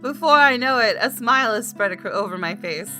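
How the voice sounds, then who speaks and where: A woman's voice: a little high, animated, a little fast, music in the background, low-quality recording. A woman hosting a gaming video, switching between casual commentary and reading story text aloud.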